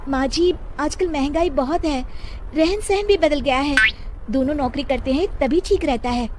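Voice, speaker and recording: A woman voicing a meek, sweet young daughter-in-law, polite and gently protesting, in a cartoon moral story. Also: sits high, moderate pace, very noisy, voice pushed up, natural voice. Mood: pleading. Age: young adult.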